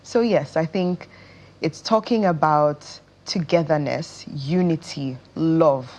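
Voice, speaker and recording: A woman with a Nigerian accent talks in a casual interview as the guest. Her voice sits low for a woman, and she takes her time, explaining in a slow, measured way. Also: darkish tone. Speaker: interview guest, stage actress.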